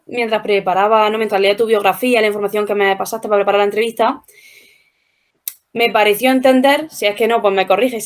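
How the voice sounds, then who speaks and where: A woman with an accent from Spain hosting an interview, asking long, tentative questions dotted with tags. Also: fairly high pitch. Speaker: interviewer, host. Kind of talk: conversational interview.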